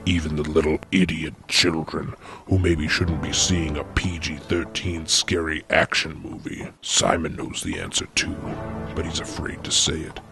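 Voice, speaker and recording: A man narrating a comedy script like screenplay directions, in a deadpan, mock-dramatic way. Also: very deep voice, moderate pace, gravelly. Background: music.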